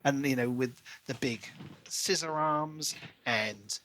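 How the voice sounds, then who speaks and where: Bright-voiced man, enthusiastic book podcaster. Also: mid-range voice, slow pace, a little hiss or hum. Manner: enthusiastic, expressive, acts out quoted lines. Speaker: podcast co-host. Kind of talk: casual book discussion.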